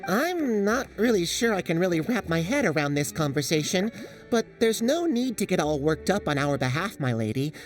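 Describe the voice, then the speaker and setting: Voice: mid-range voice, very animated, moderate pace, far from the mic, close to an everyday voice. An actor playing a sardonic small forest creature, mock-polite and teasing, in a game cutscene. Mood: scoffing. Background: music.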